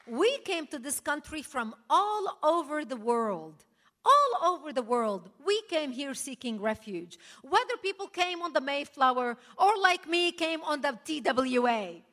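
A woman's voice: high voice, sing-song, measured pace. A woman for whom English is not her first language gives an impassioned, emphatic speech at a political rally, breaking into laughs now and then. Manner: forceful, emphatic, punctuated with laughs. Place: large hall before a live audience. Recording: echoey hall, long reverb.

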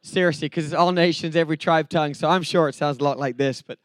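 High-voiced English preacher man. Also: steady tone, quick pace, no echo. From England, later Texas. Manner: earnest storytelling with joking asides and repeated phrases. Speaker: preacher, evangelist. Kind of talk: sermon with personal testimony and humour.

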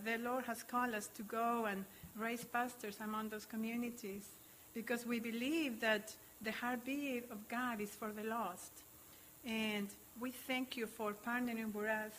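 A woman's voice: on the high side, a bit bright, slight background noise, poor audio. Earnest woman missionary from Chile. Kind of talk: church testimony.

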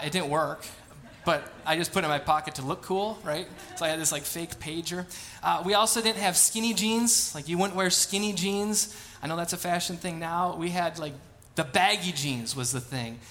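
A man's voice: fairly high pitch, pushed and bright. A man in his late thirties, a pastor, reading scripture, praying and then telling casual, self-deprecating stories in a sermon. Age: 30s. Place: church sanctuary.

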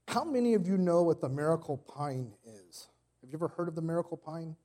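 Pastor with a cold, dark mellow voice. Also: male voice, expressive, a little fast. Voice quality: extra deep voice today. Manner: casual, rambling delivery with many fillers and restarts. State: sick with a cold, slight cough. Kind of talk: sermon. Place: church.

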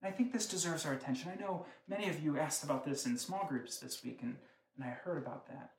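Man, pastor, fairly high voice, conversational sermon, rhetorical questions, echoey church room. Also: fast, slight background noise.

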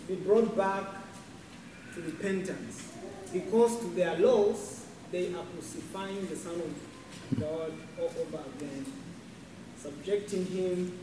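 Distant man with a foreign accent, echoey hall. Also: high voice. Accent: non-native English accent. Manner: hesitant, many fillers, reads scripture aloud. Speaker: congregation member asking a question. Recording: distant, echoey, noisy room.

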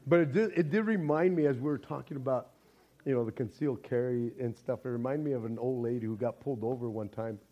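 Hoarse raspy guy preaching, rambles and jokes around, dull-ish recording. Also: mid-range voice, fast, warm tone. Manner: rambling, joking, self-interrupting. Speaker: pastor. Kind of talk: casual sermon with jokes. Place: church sanctuary.

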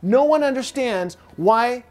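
A man's voice: very high-pitched, lively intonation, measured pace, a little mellow. Middle-aged American man, pastor, easygoing conversational sermon delivery. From United States. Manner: conversational preaching with repeated phrases. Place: church sanctuary.

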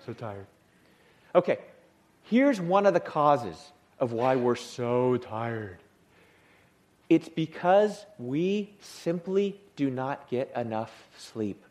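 A male pastor giving a sermon in church, with a soothing voice and a humorous, lively manner of delivery. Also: mid-range voice, slow. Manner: lively, humorous, acts out tired people.

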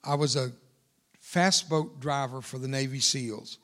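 A man's voice: mid-range voice, fairly even intonation, measured pace, fairly bright tone. An older man, a veteran, preaching to a church congregation with emphatic, repeated phrases. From United States. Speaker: guest speaker, veteran. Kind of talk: sermon.

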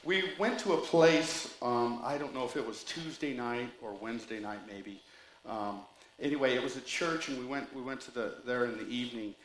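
A man's voice: medium pitch, moderate pace, a bit bright, echoey room, low-quality recording. A man preaching a sermon with rambling asides and jokes, reading scripture and telling a story. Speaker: pastor.

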